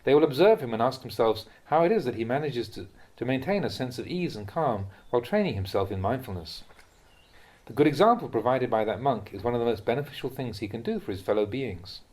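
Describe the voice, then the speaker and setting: A man reading a translated Buddhist talk aloud as a monk would teach, in a measured, instructive manner. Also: mid-range voice, animated, darkish tone.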